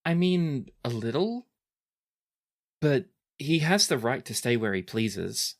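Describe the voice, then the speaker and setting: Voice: mid-range voice, big pitch swings, takes it slowly. A tabletop player voicing a reserved, hesitant young princess who speaks with slight formality. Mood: shy, polite. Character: reserved young princess, reluctant, formal, matter-of-fact about war.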